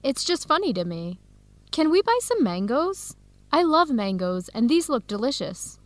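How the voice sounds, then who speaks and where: An actress with an American accent playing an enthusiastic, curious visiting woman scholar in an educational radio drama, with clear, simple phrasing. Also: high-pitched, very animated, normal speed, dry recording. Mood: playful, content.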